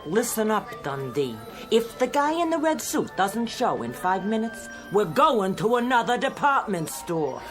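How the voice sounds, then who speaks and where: An actress playing a brisk, exasperated mother in a radio drama, insistent and no-nonsense. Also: mid-range voice, sing-song, faint music behind.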